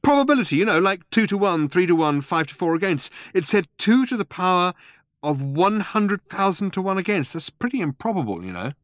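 A man voicing an excitable, exasperated male space traveller with urgent, indignant speech in an audiobook. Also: high-pitched, fairly quick, no echo, studio quality, pitched above the performer's own voice. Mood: baffled, excited.

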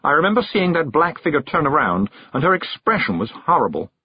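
A weary woman witch as played by a man speaks in a raised, husky voice, dazed and fretful. The storybook character murmurs faintly and haltingly, as if drifting. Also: on the high side, big pitch swings, no room sound, voice pushed up. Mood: shaken, weak. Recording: dull, lo-fi recording.

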